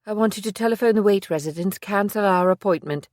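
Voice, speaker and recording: A woman voicing a determined woman private investigator, speaking in a measured, formal, resolute way. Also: mid-range voice, darkish tone, no echo, studio quality, voice pushed up, close to an everyday voice. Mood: alarmed.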